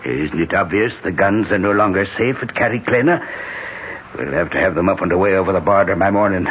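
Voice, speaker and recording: An actor playing a sly, bossy Irish gun-runner in a 1970s radio drama, with an Irish accent. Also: male voice, low voice, brisk, a bit bright. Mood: brisk, scheming. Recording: dull, hissy old radio broadcast.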